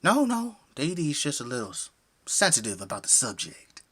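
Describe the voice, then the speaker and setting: A man's voice: on the high side, huge pitch swings, normal speed, a bit bright, slightly rough, heavily acted. Guy voice acting a friendly southern american dad, super folksy and welcoming, voice goes all over, audio play. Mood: placating. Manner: effusive, folksy, hospitable. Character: friendly, folksy middle-aged family man and father.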